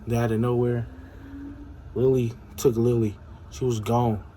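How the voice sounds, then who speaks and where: A man's voice: mid-range voice, level tone, measured pace, warm tone, a little hiss or hum. A young adult man telling a story casually, stuttering and repeating himself as he goes.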